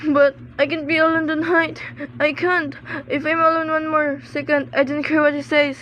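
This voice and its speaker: A woman's voice: sits high, level tone, moderate pace, a bit bright, a little hiss or hum, low-quality recording. A woman playing a nervous, demanding invalid wife in a melodramatic suspense radio play. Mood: desperate. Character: imperious, nervous bedridden invalid wife, frantic and self-pitying. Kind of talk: suspense radio drama acting.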